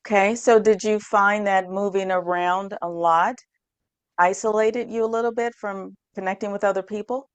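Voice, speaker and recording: A woman hosting an interview who asks slow, hesitant questions and often restarts them. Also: medium pitch, steady tone, smooth, dry recording. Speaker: host.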